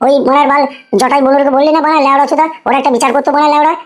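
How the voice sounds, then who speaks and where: A woman plays a squeaky, extremely high-voiced young village drunkard from a crude comedy cartoon. He rants at breakneck speed, grumbling and swearing without pause. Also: level tone, natural voice. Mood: gossipy. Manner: ranting, swearing constantly. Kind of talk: crude comic cartoon dialogue.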